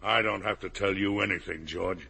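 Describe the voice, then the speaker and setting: Gravelly, blustering American father. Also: deep voice, fairly even intonation, moderate pace, bright, forceful tone, exaggerated voice. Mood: angry, defensive. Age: middle-aged. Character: blustering, defensive middle-aged father hiding guilt. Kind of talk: 1950 American radio drama acting. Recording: old 1950 radio broadcast.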